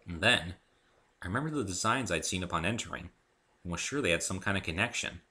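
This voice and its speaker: Guy doing a dramatic fanfic style story reading, lowish voice, super lively. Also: quick pace. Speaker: amateur author reading own story aloud.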